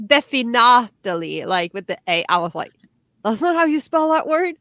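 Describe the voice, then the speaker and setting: A woman's voice: on the high side, normal speed, no echo. Chatty Norwegian woman, dry close sound. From Norway. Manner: chatty, animated, many restarts and fillers. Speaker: podcast co-host. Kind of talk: casual chat.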